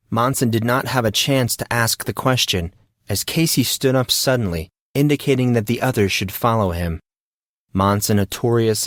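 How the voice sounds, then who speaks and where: A man's voice: medium pitch, no echo, clean studio sound. A man reading a scripted story as its narrator.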